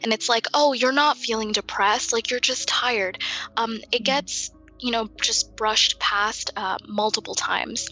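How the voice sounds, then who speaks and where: A woman's voice: on the high side, steady tone, measured pace, pushed and bright, soft background music. A young woman from the United States, a guest on a casual podcast, talking in an earnest, articulate way and laughing easily. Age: young adult.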